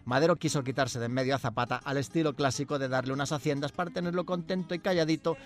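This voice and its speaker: A man's voice: on the high side, fast talker, faint music behind. A male presenter reads a history segment from a script. He slips in wry, ironic asides as he goes. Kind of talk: scripted humorous history narration.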